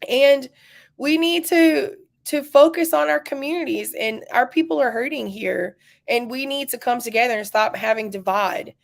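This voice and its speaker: An impassioned woman from North Carolina with a gravelly, creaky edge to her mid-pitched voice. She speaks as a community organizer, earnest and heartfelt. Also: moderate pace. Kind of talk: speaking to a virtual rally.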